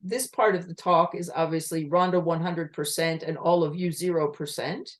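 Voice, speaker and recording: A woman giving an online communication talk with slides, explaining in a lively manner and asking her listeners rhetorical questions over a video call. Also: lowish voice, moderate pace. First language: English.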